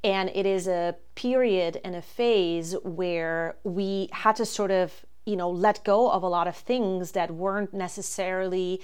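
A woman in her forties from Germany, hosting a solo astrology podcast and explaining things calmly, with frequent ums. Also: lowish voice, unhurried, hardly any pauses, clean tone.

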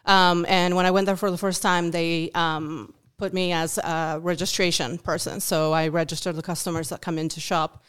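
Uruguayan woman, native Spanish speaker, podcast guest; lowish, very clear voice; thoughtful, ums and restarts. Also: level tone, moderate pace, few pauses, fairly bright tone. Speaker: guest, food bank volunteer. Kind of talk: podcast interview.

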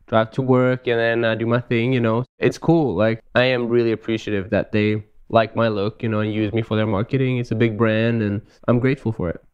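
A man from Switzerland, a guest telling stories in a relaxed, easygoing way on a casual podcast interview. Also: on the low side, fairly quick, few pauses, smooth, dull audio. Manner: relaxed, easygoing storytelling with frequent "you know". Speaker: podcast guest, live show dancer.

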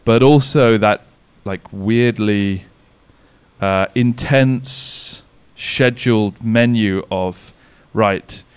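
Chatty man with a low, smooth voice. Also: extremely slow, no room sound. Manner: chatty, rambling, many restarts and fillers. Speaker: podcast guest, sauna business owner. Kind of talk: casual podcast interview. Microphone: remote recording call.

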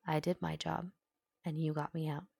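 A woman's voice: low voice, flat delivery, normal speed, vocal fry, a little mellow, slightly rough, dry recording, full character voice. A woman voicing an earnest, stubborn young heroine in a dramatic story, speaking emphatically and with open emotion. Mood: fierce, pleading.

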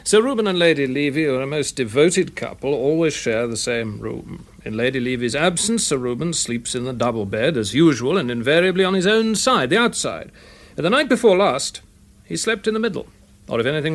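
A man's voice: a little high, big pitch swings, fairly quick. A man playing an earnest, methodical English police detective in a radio drama, precise and matter-of-fact. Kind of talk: period radio drama acting.

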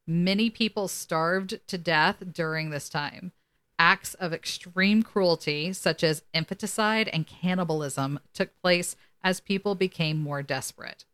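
A woman's voice: fairly low pitch, a bit bright. A woman co-hosting a casual podcast, reading articles aloud and dropping in wry asides. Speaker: podcast co-host.

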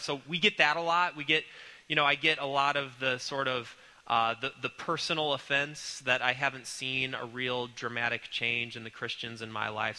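Man, congested, church class teacher, earnest and halting, very bright tone, long reverb. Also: mid-range voice. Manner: earnest, halting with many ums and restarts. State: congested with a cold. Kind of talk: recorded church class lecture.

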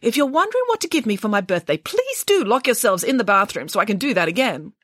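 A woman voicing a brisk, bossy female pig nanny in a storybook reading. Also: fairly high pitch, sing-song, fairly bright tone, dry recording, studio quality, pitched above the performer's own voice. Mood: commanding. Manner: brisk and commanding. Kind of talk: storybook character voice.

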